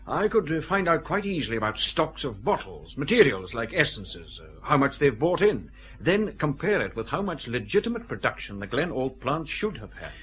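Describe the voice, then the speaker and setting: An actor playing a cautious, fussy customs official, hesitant and precise, in a radio drama. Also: male voice, medium pitch, very animated, normal speed, fairly bright tone, husky, full character voice. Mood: uneasy, helpful.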